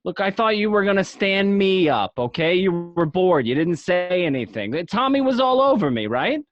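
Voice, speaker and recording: A man playing a young, smooth-talking gangster boyfriend in a read-through of a film script. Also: high-pitched, sing-song, normal speed, muffled recording, soft background music. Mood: pleading.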